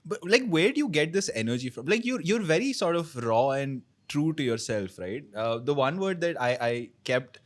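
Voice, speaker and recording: A man's voice: a little high, big pitch swings, normal speed, few pauses. A man hosting a podcast interview in a restaurant kitchen, asking questions in a conversational, hesitant way with many fillers. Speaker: podcast host.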